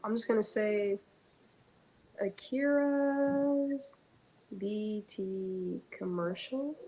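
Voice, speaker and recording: A woman's voice: mid-range voice, very slow, mellow, low-quality recording. Young woman with a stuffy, congested nose. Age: young adult. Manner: chatty and joking, with many fillers. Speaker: guest, actress. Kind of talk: casual chat.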